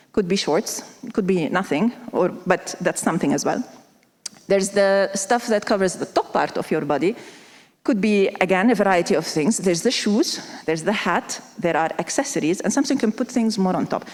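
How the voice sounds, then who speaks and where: A woman's voice: medium pitch, darkish tone. A woman from Lebanon giving a conference talk in a conversational way, talking straight to the audience. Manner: conversational, uses analogies, addresses audience. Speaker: conference speaker. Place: conference hall. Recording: reverberant hall.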